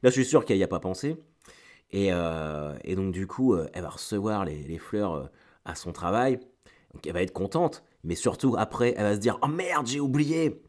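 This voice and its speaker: A middle-aged man speaks in a fairly low voice, a little stuffed up a cold. He chats informally like a solo podcaster, wandering into asides, repeating words and searching for phrasing. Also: animated, moderate pace, darkish tone. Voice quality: slightly congested, stuffy nose from a cold. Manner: informal, digressive, hesitant with repeated words, slangy. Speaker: stand-up comedian hosting a solo weekly show. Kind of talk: casual solo podcast chat to listeners.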